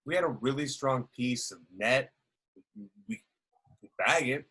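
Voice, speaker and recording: Husky mid-pitched voice, man as practical male space technician, amateur sci-fi script read. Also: animated, measured pace, bright, forceful tone, exaggerated voice. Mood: practical, uneasy. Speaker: table read actor.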